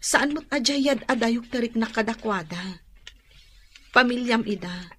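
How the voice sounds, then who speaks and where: A young wife in a radio drama. Her voice sits somewhat high, with an everyday, natural delivery. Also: lively intonation, unhurried, fairly bright tone, slightly rough, full character voice. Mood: worried. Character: worried young wife, wary of her in-laws. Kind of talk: Ilocano radio drama acting.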